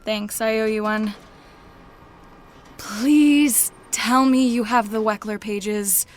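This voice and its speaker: A woman's voice: on the high side, fairly even intonation, slow pace. A woman acting as an exasperated, sardonic young woman in an audio drama, with a dry, casual way of talking. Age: young adult.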